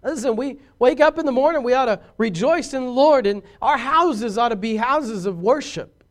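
A pastor preaching a sermon, shifting from quiet confiding asides to loud emphatic declarations. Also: male voice, very high voice, animated, normal speed.

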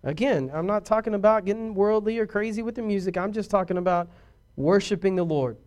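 Man, pastor; high-pitched voice, preachy and emphatic, swinging between soft asides and loud calls. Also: fairly quick, smooth. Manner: preachy, rising to emphatic declarations. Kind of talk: sermon.

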